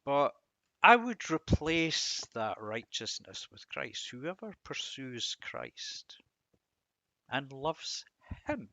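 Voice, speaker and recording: A man giving an informal devotional commentary on verses, musing and halting, with self-deprecating asides. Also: mid-range voice, very animated, vocal fry, sharp tone, dry recording. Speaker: host of a Bible reading series.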